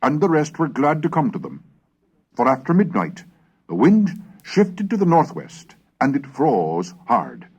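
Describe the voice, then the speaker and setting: Formal man narrating, widely varied pitch. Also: very animated, a little slow, breathy edge. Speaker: reader and narrator of a recorded history lesson. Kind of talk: scripted narration with archaic historical readings.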